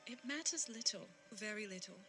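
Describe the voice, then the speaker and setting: A woman acting as a sorrowful, dignified young fiancée in an audio drama, earnest, measured and wounded. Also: mid-range voice, expressive, pushed and bright, soft background music. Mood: quiet hurt.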